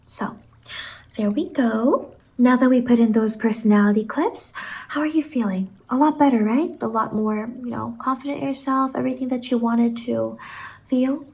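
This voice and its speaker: A soft-spoken woman doing an ASMR roleplay as a salon worker, soothing and reassuring, often slipping into a whisper. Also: a little high, normal speed, slightly rough.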